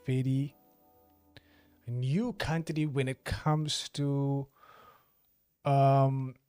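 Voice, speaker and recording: Slow-talking South African man streamer. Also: mid-range voice, flat delivery, darkish tone, clear, resonant tone, no echo, soft background music. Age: 20s. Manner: joking, rambling, talks straight to chat. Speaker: livestreamer. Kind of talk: casual live stream chat.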